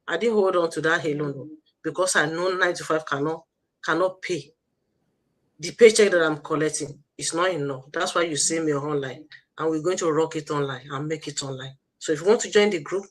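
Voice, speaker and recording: A Nigerian woman hosting an online livestream, giving a motivational pitch with emphatic, repetitive, preacher-like exhortation. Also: moderate pace. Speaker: host of an online business promotion livestream.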